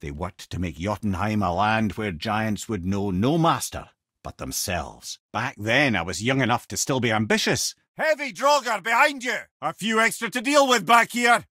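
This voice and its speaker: A wry old Scottish sage, a talking severed head from a fantasy video game. His voice is husky and rough-edged, swinging widely in pitch as he spins lore with eloquent, theatrical relish. The sound is close and dry. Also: lively intonation, moderate pace, bright, forceful tone, no room sound. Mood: urgent warning. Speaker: companion and guide. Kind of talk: video game character dialogue and lore storytelling.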